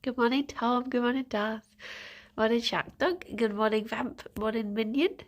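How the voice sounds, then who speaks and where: A woman's voice: a little high, moderate pace. Casual woman streamer, young, stuffy-nosed, giggly and chatty. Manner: chatty, giggly, greets viewers by name. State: congested, stuffy nose. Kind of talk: casual morning chat with viewers.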